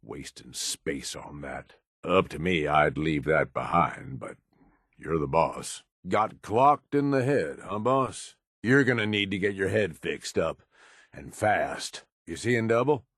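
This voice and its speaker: A deep, gruff American raider lieutenant for a video game. He is blunt and cynical yet loyal, talking in rough, folksy slang. His delivery moves widely in pitch, and the recording is dry and close. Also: expressive, slow, fairly bright tone, dry recording, full character voice. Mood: skeptical. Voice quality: low, gruff. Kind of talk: video game companion voice lines.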